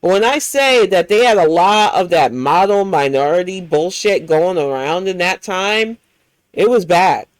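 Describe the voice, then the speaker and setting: A woman's voice: medium pitch, dry recording, rough recording. An American woman hosting a livestream, reacting to clips in a blunt, profane, heated rant. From United States. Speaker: livestream host.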